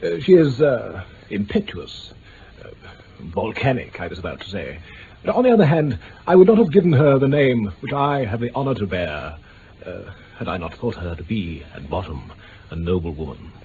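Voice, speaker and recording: A pompous English nobleman with an upper-class accent and easily bruised dignity. He speaks stiffly, hesitating over delicate words, his pitch rising and falling freely. It is an old radio drama, muffled and faintly hissy. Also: big pitch swings, moderate pace, warm tone. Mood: stiff, reminiscing. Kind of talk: 1950s-60s BBC radio drama acting.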